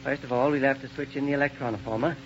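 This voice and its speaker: An actor playing an excitable middle-aged inventor scientist who lectures in technical jargon, in a 1930s radio drama. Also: male voice, mid-range voice, very fast, close to an everyday voice. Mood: explaining. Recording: muffled 1930s radio drama recording with hiss.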